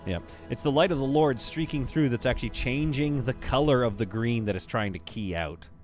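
A Canadian man in his thirties, streaming a game from a home green-screen setup, joking with chat and talking on a boom mic. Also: a little fast, soft background music. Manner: playful, jokey, lively, swings between loud bursts and quiet asides. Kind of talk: casual livestream chat while building in a game. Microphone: studio mic on a boom arm.

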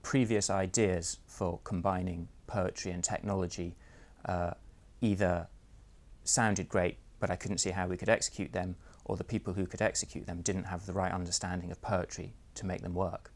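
Deep flat-voiced man explaining an app. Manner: measured, matter-of-fact. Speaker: app publisher. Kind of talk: interview explanation.

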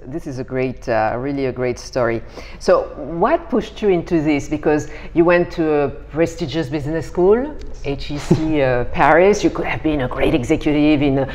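A woman's voice: sits low, normal speed, a little mellow, a little hiss or hum. A middle-aged woman asking questions as an interviewer in a conversational style. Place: bright indoor living room.

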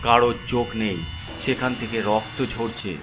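A man's voice: medium pitch, little pitch movement, normal speed. Suspenseful male horror narrator over music. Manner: suspenseful, theatrical. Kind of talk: dramatic first-person horror story narration.

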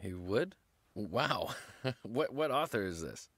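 An actor playing a respectful young man in trouble with a Southern American accent, answering quietly in a radio drama. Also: fairly low pitch, sing-song, takes it slowly, no echo, studio quality, heavily acted. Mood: polite. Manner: quiet, polite.

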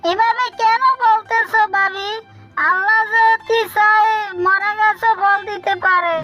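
A woman's voice: very high-pitched, flat delivery, slow, lo-fi sound. A woman voicing quarreling female jackals in a cartoon, in a chiding manner. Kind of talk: cartoon voice acting. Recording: light background music.

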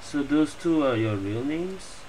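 Mellow gamer musing aloud. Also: mid-range voice, lively intonation, unhurried, a little hiss or hum, low-quality recording. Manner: musing aloud in short remarks. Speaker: gaming streamer. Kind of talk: casual gameplay commentary.

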